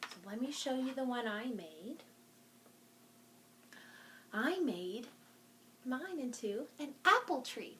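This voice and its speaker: Female children's storytime host, higher-pitched and unhurried, sing-song delivery, homemade recording with some noise. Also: sing-song, fairly bright tone. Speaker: children's librarian hosting a virtual storytime. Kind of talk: talking to young children in a craft and show-and-tell video.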